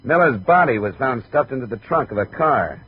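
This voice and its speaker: An American actor playing a sardonic, skeptical private investigator in a 1950s hardboiled radio drama. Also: male voice, mid-range voice, lively intonation, fairly quick, husky. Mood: skeptical, probing. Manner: sardonic, probing. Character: wry, skeptical, tough male private investigator. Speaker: lead detective of the series. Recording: dull, noisy 1950s radio recording.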